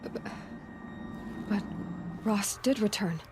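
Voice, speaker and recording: A woman's voice: mid-range voice, slow pace, darkish tone, faint music behind, close to an everyday voice. An actress playing a young female hunter in a video game, questioning in a terse, intense way. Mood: troubled, questioning. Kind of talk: cinematic video game acting.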